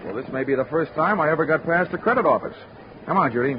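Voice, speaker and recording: An actor playing a wry, sardonic hard-boiled insurance investigator in a 1940s radio detective drama. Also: male voice, on the high side, expressive, fast talker, close to an everyday voice. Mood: wry, brisk. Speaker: lead actor and narrator. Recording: noisy, muffled 1940s radio recording.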